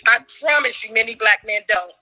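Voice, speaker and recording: A woman's voice: on the high side, fairly quick. A woman arguing in a heated debate, emphatic and challenging, and losing her voice. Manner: forceful, emphatic, challenging questions.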